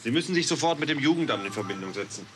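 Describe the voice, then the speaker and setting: An actor playing a calm official who speaks in a measured, formal way. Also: male voice, mid-range voice, over music, natural voice. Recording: noticeable background noise.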